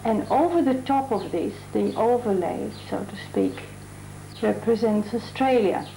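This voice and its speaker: Chatty dutch-born woman artist, gravelly and mellow, stops to think mid-sentence. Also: on the high side, animated, a little hiss or hum, rough recording. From Netherlands. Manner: thoughtful, pausing to find words. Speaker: mural artist. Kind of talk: documentary interview.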